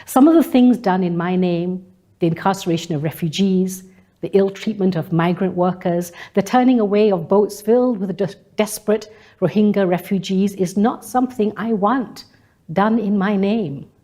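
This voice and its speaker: A woman from Malaysia delivers a scripted spoken-word monologue in a deliberate, rhetorical manner, building through repetition and lists. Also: medium pitch, warm tone.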